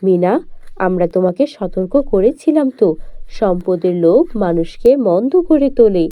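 A woman voicing a benevolent, wise magical fairy who gently cautions, in a children's cartoon fairy tale. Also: mid-range voice, lively intonation, moderate pace, no echo, highs cut off, natural voice. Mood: cautioning.

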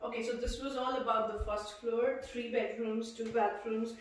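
A woman's voice: fairly high pitch, steady tone, normal speed, distant mic. A young woman, a Punjabi speaker, chatting casually as she walks through an empty house, with many fillers. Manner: chatty, walks while talking, frequent uh fillers. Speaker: vlogger. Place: empty house, indoors, bare rooms. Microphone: handheld camera at a distance. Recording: echoey empty rooms, voice from off mic.

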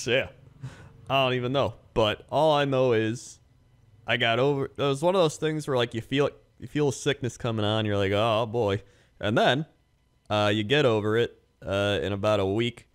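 A man's voice: mid-range voice, normal speed, clear, resonant tone. A man co-hosting a casual podcast, laid-back and easygoing, chatting in an amused way while getting over a cough. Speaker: podcast co-host.

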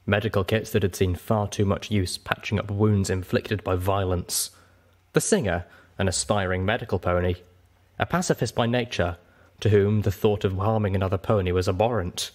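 A man narrating a story as an audiobook reader, in an expressive scripted style. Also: on the low side, normal speed.

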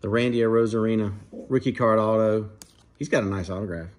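A man casually commenting to viewers while opening trading card packs at a dining table at home, in a relaxed, chatty way. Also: lowish voice, brisk, slight background noise. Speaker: card breaker, channel host.

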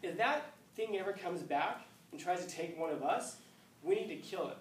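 A man in his fifties, a high school teacher lecturing a class, acting out scenes with playful voices. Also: animated, moderate pace, a little hiss or hum. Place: classroom, indoors. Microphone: far camera. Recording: echoey classroom from far off.

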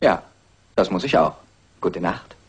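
An actor playing a slyly insinuating gentleman suspect in a German radio crime drama, polite in manner. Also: low-pitched, animated, unhurried, creaky, noisy background, heavily acted.

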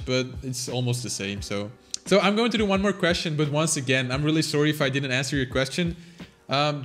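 A young man talks quickly and casually in English, answering viewer questions from his desk. His voice sits a little high for a man. Soft music plays faintly behind him in a small room. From Belgium. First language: Flemish. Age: young adult. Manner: chatty, animated, quick. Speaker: channel host. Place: small home room, indoors. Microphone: fixed camera, seated at desk.

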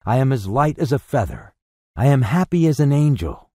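A man's voice: fairly high pitch, wildly swooping pitch, a little slow, warm tone, dry recording, clean studio sound, exaggerated voice. A man voicing an old Victorian miser, repentant and fervent, in an exclamatory, swooping storybook performance. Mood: giddy joy. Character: elated repentant old miser, giddy, pleading, Victorian Englishman.

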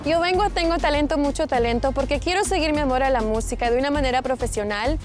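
A young woman from Peru with a high, light voice talks earnestly and quickly about herself. Loud music plays under her in a TV studio. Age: 20s. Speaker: talent show contestant. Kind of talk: spoken self-introduction and answers to judges. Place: TV studio stage.

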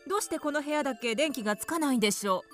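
A woman's voice: high-pitched, expressive, very fast, soft background music. Anxious, ladylike wife. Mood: fretful. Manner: ladylike, fretful. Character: anxious, genteel wife and mother. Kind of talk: radio drama acting.

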